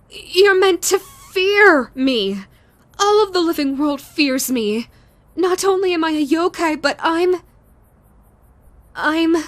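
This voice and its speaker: A woman playing a female yokai ghost in an audio roleplay, theatrical and deliberate, menacing yet easily flustered. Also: sits high, no room sound. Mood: flustered, indignant. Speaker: voice actress. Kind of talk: audio roleplay, character speaking to listener.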